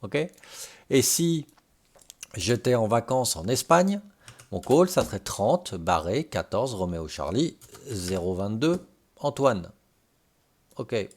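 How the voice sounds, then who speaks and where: Low-voiced man giving a software tutorial. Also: very animated, slow, long pauses. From Brittany, France. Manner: explanatory, checks in with short tags. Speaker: tutorial presenter. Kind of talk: informal step-by-step software tutorial.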